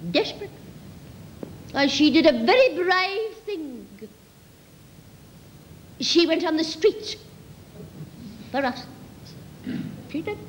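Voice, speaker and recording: Woman playing a high-pitched sentimental Cockney rambler. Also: expressive, slow pace, long pauses, big-room sound, a little hiss or hum, full character voice. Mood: mournful, earnest. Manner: rambling, repetitive, earnest. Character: sentimental working-class Cockney reminiscing fondly about mum. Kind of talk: live comic monologue.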